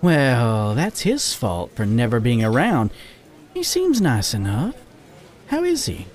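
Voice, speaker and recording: A man's voice: mid-range voice, wildly swooping pitch, slow pace, darkish tone. A man voicing a playful, devious little lioness in a story reading, with a sweet, coaxing, eager manner. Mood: curious. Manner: purring, coaxing, giggly.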